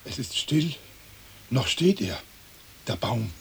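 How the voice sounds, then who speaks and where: An actor playing a dignified, earnest lord in a radio play, formal and solemn, with hiss on the old recording. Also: male voice, mid-range voice, sing-song, slow pace, vocal fry, exaggerated voice. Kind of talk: stereo radio play acting.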